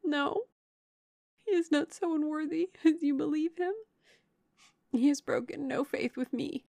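A woman's voice: sits high, measured pace, gravelly, mellow, no room sound, pitched above the performer's own voice. A woman narrator voicing an anguished, heartbroken young woman from a classic novel, passionate and exclaiming wildly. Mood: distraught protest. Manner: wild, exclaiming, lamenting.

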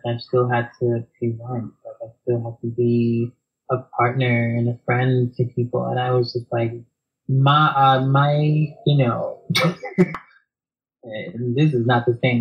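A soft-spoken man, talking reflectively as an interview guest, hesitant with many fillers and restarts. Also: mid-range voice, dull audio.

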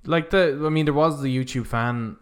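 A man's voice: medium pitch, normal speed. A man co-hosting a podcast, rambling and thinking aloud in casual, opinionated chat, with some casual swearing. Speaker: podcast co-host. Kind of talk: casual opinionated chat about films and games.

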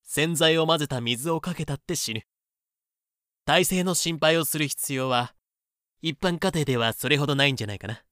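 A man playing a self-important, calmly confident young would-be god, explaining smugly in a fan-made comedic audio drama. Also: fairly high pitch, smooth, no room sound, clean studio sound, close to an everyday voice. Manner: smug, measured explaining.

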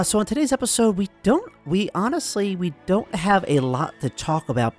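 A young man hosts a news podcast in a casual, rambling way, with a voice gone hoarse from a cold. He talks at a middling pitch, often pausing on fillers, and faint music plays behind him. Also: a little mellow. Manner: rambling, filler-heavy, apologetic. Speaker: podcast host.

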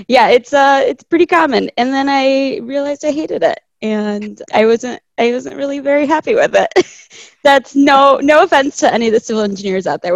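Gravelly, chatty young woman. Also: a little high, dry recording, highs cut off. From Chile. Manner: chatty, laughs often, quotes people in asides. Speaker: guest, landscape architect. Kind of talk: casual interview conversation.

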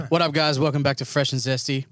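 A man's voice: fairly high pitch, fairly even intonation, brisk, fairly bright tone, no echo. A young man co-hosting a podcast in a laid-back, loose way. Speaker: podcast co-host. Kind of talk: casual podcast banter.

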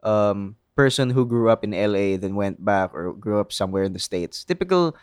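A man's voice: fairly low pitch, animated, moderate pace, clean tone, dry recording, studio quality. A man with a Filipino English accent, chatting casually and giving opinions with lots of "like" fillers. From Philippines. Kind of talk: casual chat.

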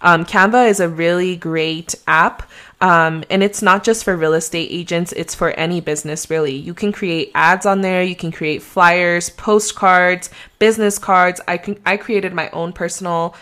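Woman, slight Mexican accent, lowish voice, chatty, conversational podcast host. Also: fairly even intonation, moderate pace, a bit bright. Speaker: real estate agent and podcast host. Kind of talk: casual solo podcast advice.

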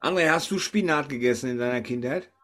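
A man's voice: medium pitch, quick pace, fairly bright tone. A man hosting a casual podcast chat, talking informally. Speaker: podcast host.

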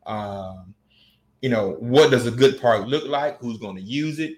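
A man with a Southern US accent talks in a loose, rambling way. His voice sits at a middle pitch and he often restarts his sentences. It sounds like an unhurried podcast conversation. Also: animated. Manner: laid-back, rambling with restarts. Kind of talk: casual podcast chat.

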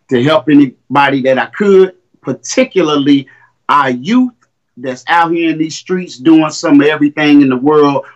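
A man in his fifties speaking with a somewhat raised pitch. He talks casually and boastfully, full of street slang, as an interview guest. His voice comes through a makeshift mic rather than a studio setup. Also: muffled recording. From Indiana, US. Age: 50s. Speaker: guest, rapper and coach. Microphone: makeshift mic, not a studio one.

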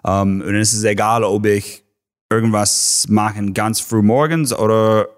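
A man from Texas with an American accent, a football coach being interviewed on a podcast, speaking halting German with English words mixed in and many fillers. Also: on the low side, steady tone. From Texas, USA. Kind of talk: casual interview.

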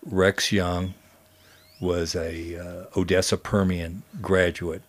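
Very deep-voiced man telling stories. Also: level tone, slow pace. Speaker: guest storyteller and history teacher. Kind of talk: conversational storytelling.

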